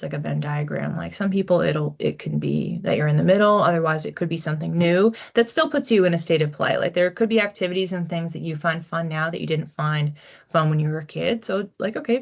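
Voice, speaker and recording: Female psychic reader, lowish pitch, informal and conversational, thinking aloud; slightly muffled lo-fi recording. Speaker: psychic reader hosting a weekly collective reading. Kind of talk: casual, conversational card reading to an audience.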